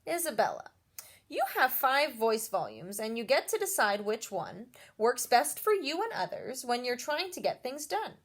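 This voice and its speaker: A woman's voice: on the high side, very animated, moderate pace. A woman voicing a kind, patient storybook schoolteacher who coaches a pupil in an encouraging, instructive way.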